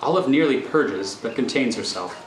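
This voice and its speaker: A man's voice: medium pitch, animated, normal speed, slight background noise. A man reading scripted screenplay narration as the narrator of a thriller. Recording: roomy recording over faint music.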